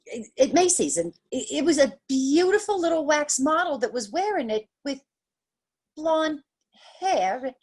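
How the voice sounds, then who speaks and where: A woman's voice: high-pitched, expressive, measured pace, darkish tone. A woman playing a naive, easily frightened young sweetheart in a 1940s-style radio drama, girlish and hesitant. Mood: chatty, gushing.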